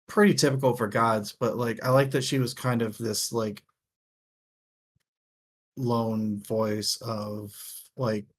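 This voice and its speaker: A man co-hosting a casual book discussion, thinking aloud in halting phrases and swearing offhandedly. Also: mid-range voice, steady tone. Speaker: co-host of a book discussion show.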